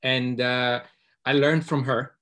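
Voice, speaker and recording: Man, mid pitch, nervous questioner who stops and restarts a lot. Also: level tone, takes it slowly, fairly bright tone. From Poland. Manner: hesitant, many uhs and restarts. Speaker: audience member asking a question.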